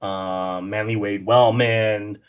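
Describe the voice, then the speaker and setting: Low-pitched man chatting in an interview. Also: steady tone, slow pace, clean tone. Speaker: interview guest, horror artist. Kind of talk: casual conversational interview.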